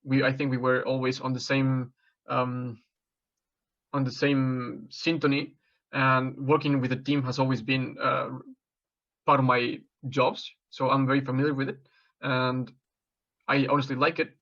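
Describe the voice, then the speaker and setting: Mexican-born man, 20s, job applicant: halting, filler-heavy answers, monotone, slightly muffled audio. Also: mid-range voice. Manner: hesitant, many fillers and restarts. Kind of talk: mock job interview answers.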